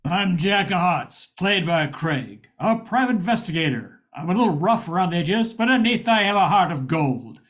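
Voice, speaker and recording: Older man as lively rough-edged private eye. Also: high-pitched, moderate pace, few pauses. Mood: hearty. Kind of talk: 1940s radio acting.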